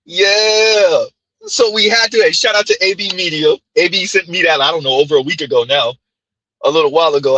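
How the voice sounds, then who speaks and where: Haitian-accented guy on a stream, high bright voice, husky, dry close sound. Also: dry recording, rough recording. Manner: emphatic, rhetorical "huh?" asides. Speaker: commentary streamer. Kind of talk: reaction stream commentary.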